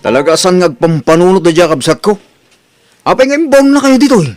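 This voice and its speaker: A man's voice: sits high, sing-song, a little fast, a little mellow, faint music behind. A man playing an annoyed relative who refuses to take in guests, in a radio drama.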